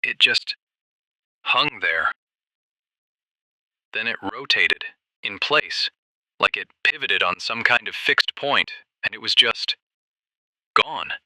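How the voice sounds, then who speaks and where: Shaken desert ranger, intense forceful low voice. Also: male voice, lively intonation, dry recording, full character voice, poor audio. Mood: uneasy, insistent. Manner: halting, plain-spoken, emphatic. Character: shaken, earnest desert ranger calling radio show. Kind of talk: radio drama phone-in testimony.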